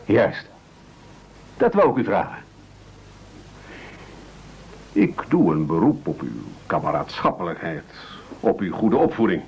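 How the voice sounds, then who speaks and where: An actor playing a formal, commanding castaway gentleman in an absurdist radio play, measured and over-polite. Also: low-pitched, big pitch swings, creaky, a little hiss or hum, full character voice. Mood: solemn, persuasive. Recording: dull lo-fi old radio recording.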